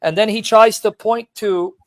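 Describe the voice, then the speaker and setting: A man with a fairly high voice hosts a casual call-in debate. He talks bluntly and teases his caller, wandering off mid-sentence while fiddling with his equipment. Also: fairly even intonation, takes it slowly. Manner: blunt, teasing, rambling while fumbling with his setup. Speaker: live-stream host debating callers.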